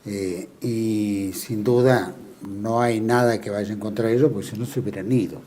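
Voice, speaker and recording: A man's voice: fairly low pitch, fairly even intonation, moderate pace, warm tone. A man with an Argentine Spanish accent interviewing a guest, asking conversational questions with tag questions and letting his voice drop at the ends of phrases.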